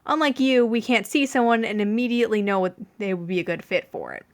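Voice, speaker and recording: A dry, deadpan mafioso played by a woman reader in an audio fan story. The delivery is composed and matter-of-fact, in a fairly high voice with lively movement. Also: fast. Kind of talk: fan fiction audiobook character voice.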